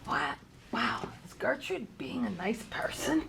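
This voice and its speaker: A woman's voice: medium pitch, big pitch swings, a little slow, gravelly, a bit bright, full character voice. A woman playing an anxious, lovelorn male bar owner in his fifties, exasperated and stammering, at a live comedy table read. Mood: wistful. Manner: exasperated, self-pitying, stammering. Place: theater stage, live show. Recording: live stage recording, faint background noise.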